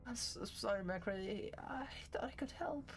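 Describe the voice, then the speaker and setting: A man's voice: high-pitched, normal speed, pitched above the performer's own voice. A man voicing a tiny, caring teenage girl in a furry visual novel, earnest, reassuring and a little hesitant. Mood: worried, pleading.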